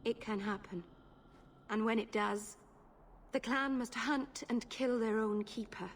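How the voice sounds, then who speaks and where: A woman's voice: on the high side, a little slow, a little hiss or hum. A woman playing a young elven mage in a fantasy video game, nervous and earnest, rambling and correcting herself apologetically. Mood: solemn, earnest. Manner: rambling, hesitant, self-correcting.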